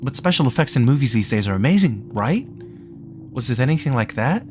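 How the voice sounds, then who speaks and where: A man puts on a sharp young woman in a visual novel mystery. She is skeptical and pointed, pressing with questions over faint music. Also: mid-range voice, big pitch swings, a little fast, voice pushed up, natural voice.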